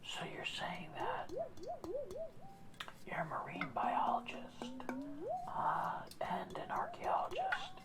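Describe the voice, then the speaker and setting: A performer voicing a wise male orca guardian of Atlantis in a slow, soft ASMR whisper, quizzing the listener, a little distant from the mic. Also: medium pitch, creaky, exaggerated voice. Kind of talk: ASMR roleplay.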